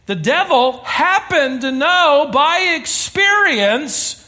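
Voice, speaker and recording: A man's voice: very high voice, sing-song, slow, sharp tone. A man preaching a sermon to a congregation in an earnest, emphatic way, pressing his points with rising intensity. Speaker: pastor.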